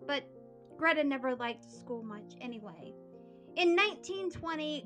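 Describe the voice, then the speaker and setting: A woman hosting a history podcast, reading her prepared script in an earnest, steady storytelling way. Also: on the high side.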